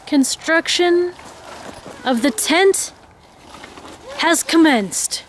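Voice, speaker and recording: Slow-paced, high-pitched woman vlogger. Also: lively intonation. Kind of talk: casual family vlog narration. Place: outdoors at a campsite.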